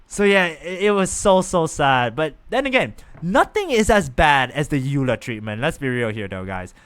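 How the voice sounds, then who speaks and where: A man's voice: on the high side, very animated, normal speed, a bit bright, smooth. A man streaming a game, chatting casually and rambling to his viewers. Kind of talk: casual gameplay chat.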